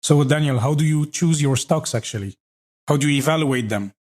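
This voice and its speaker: A man's voice: a little high, brisk, a little mellow. A man from Belgium hosting an interview podcast, asking questions conversationally and often backchanneling. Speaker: podcast host. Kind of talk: casual interview talk.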